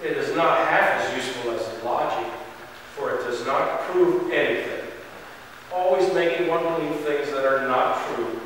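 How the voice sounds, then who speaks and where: A man's voice: on the high side, normal speed, a bit bright, lightly acted. A man playing an earnest, pedantic young student, declaiming in an amateur dramatic reading. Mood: disillusioned. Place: large church, distant camera mic. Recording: echoey, noisy hall from far off.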